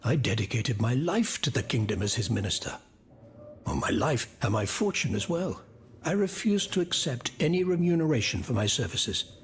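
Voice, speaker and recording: An actor playing an anguished, proud former royal minister in a video game, formal and wordy. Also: male voice, medium pitch, a little hiss or hum, lightly acted. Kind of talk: video game cutscene acting.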